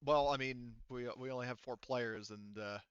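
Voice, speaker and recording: A man acting as game master in a casual tabletop session, thinking aloud in a halting way as he tallies rules and rolls. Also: mid-range voice, fairly even intonation, smooth, no room sound, dull audio.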